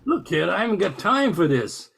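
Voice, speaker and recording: Man playing a gravelly, impatient crook Santa. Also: high-pitched, big pitch swings, moderate pace, a bit bright, heavily acted. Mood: impatient, grudging. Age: older. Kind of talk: amateur radio play acting.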